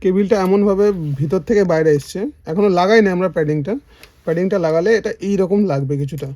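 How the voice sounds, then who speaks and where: A man with a soft-edged, mellow voice and an Indian Bengali accent explains a practical job. His delivery is that of a casual demonstrator working through steps by hand. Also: sits high, brisk. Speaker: installer demonstrating. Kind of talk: casual hands-on tutorial.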